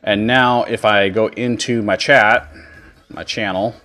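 Low-voiced man giving a casual tutorial. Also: steady tone, unhurried, bright, forceful tone. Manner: informal step-by-step explaining. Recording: music behind the voice. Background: background music.

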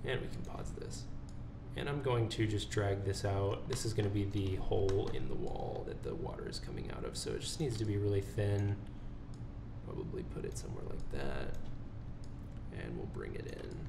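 A man presenting a casual software tutorial, informal and thinking aloud as he works. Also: lowish voice, lively intonation, normal speed, creaky. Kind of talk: casual screen-recorded software walkthrough. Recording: roomy, noisy voice-over with keyboard typing.

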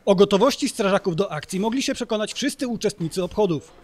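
A man's voice: high-pitched, lively intonation, quick pace. A man reading scripted narration for a local news report. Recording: voice-over with music behind. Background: music.

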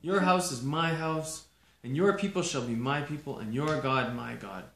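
A man's voice: on the high side, normal speed, a bit bright. A man voicing a devoted young widow who insists on staying with her mother-in-law, speaking earnestly and emphatically. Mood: pleading, determined. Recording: roomy echo.

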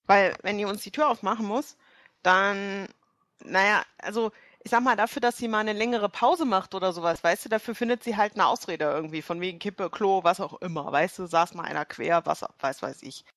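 A woman's voice: mid-range voice, fairly quick, fairly bright tone, no room sound. Woman role-player, dry close sound. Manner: hesitant, thinking aloud. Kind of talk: casual improvised in-character dialogue.

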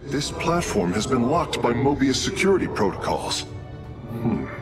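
An actor playing an earnest, analytical alien engineer in a video game, humble and dutiful, speaking formally and precisely. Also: male voice, mid-range voice, very animated, normal speed, close to an everyday voice. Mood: thoughtful, calculating. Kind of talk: video game dialogue acting. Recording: loud music under the voice.

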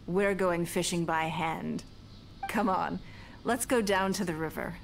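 A woman voicing a poised, shrewd, wealthy businesswoman in a video game, speaking in a measured, genteel, lightly teasing way. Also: lowish voice, fairly even intonation, vocal fry, a little hiss or hum. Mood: composed, pleased. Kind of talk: video game dialogue acting. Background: background music.

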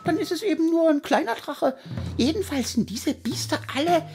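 A woman's voice: high-pitched, expressive, normal speed. A woman voicing a cheeky, stubborn little boy king in a cartoon, childlike and dramatic. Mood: defiant, excited. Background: music.

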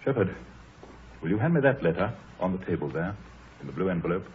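An actor playing a troubled, anxious country gentleman, grave, hesitant and formal, in a 1930s radio drama. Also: low voice, sing-song, quick pace. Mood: anguished. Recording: muffled, noisy 1930s radio recording.

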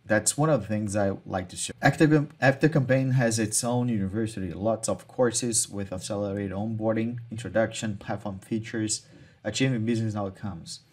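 Man walking through software features. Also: fairly low pitch, moderate pace. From Brazil. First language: Portuguese. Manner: casual, repeats words and restarts phrases, non-native phrasing. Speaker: marketing tutorial host. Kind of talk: screen-share software walkthrough.

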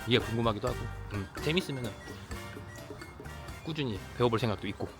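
A man in his thirties hosting a vlog, narrating casually in voice-over with hesitant pauses and fillers. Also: medium pitch. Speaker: vlog host. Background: background music.

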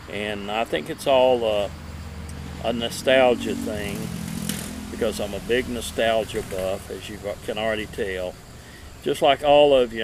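Middle aged guy doing a casual unboxing outside, takes his time, halting, some background noise. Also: fairly low pitch. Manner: slow, halting, lingering over words. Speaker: vintage radio collector and video host. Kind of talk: casual unboxing and show-and-tell. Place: outdoor table in a wooded yard. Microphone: camera a few feet away. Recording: steady outdoor background noise.

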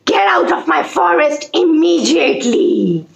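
A woman's voice: sits high, animated, measured pace, creaky, lo-fi sound, pitched above the performer's own voice, full character voice. A woman with an Indian accent voicing a commanding, indignant lion king in a children's puppet story. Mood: furious, commanding. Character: angry imperious lion king of the jungle. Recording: roomy echo.

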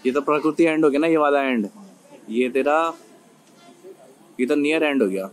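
A man's voice: on the high side, normal speed, rough recording. A young man vlogging casually at a cricket stadium, chatty and laughing often, filmed on a handheld phone. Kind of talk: casual vlog chat.

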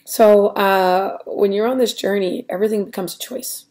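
A middle-aged woman with a raspy voice, talking through her weight-loss diary on a webcam at home, hesitant and full of ums. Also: medium pitch, steady tone, moderate pace, darkish tone. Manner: rambling, hesitant, many ums and restarts. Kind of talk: casual diary vlog. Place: small room at home, indoors. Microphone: webcam at desk.

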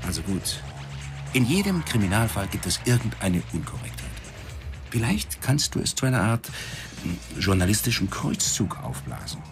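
A cynical, profane newspaper editor in a radio drama. His low voice swings widely as he blusters and needles his friend. Faint music plays behind him. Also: sing-song, normal speed, creaky. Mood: wry, warning. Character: foul-mouthed, sarcastic male newspaper editor, gruff friend.

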